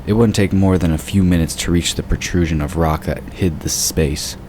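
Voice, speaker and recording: A man's voice: fairly even intonation, moderate pace, darkish tone. A man giving scripted narration for a fantasy audio drama. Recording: clearly noisy, sound effects behind. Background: rumbling ambience and sound effects.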